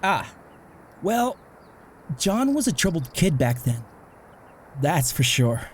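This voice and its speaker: A man's voice: fairly high pitch, very animated, slow, slight background noise. A man playing an easygoing, self-deprecating middle-aged father in a comic dub, with a casual, reflective way of talking. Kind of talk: amateur comic dub acting.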